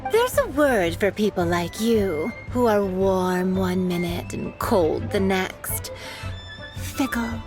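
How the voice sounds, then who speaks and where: A coy teasing shopkeeper. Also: female voice, mid-range voice, lively intonation. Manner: playful, coy, drawn-out teasing. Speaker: perfume shop owner and tailor. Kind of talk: game voice acting. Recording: game dialogue over clear music.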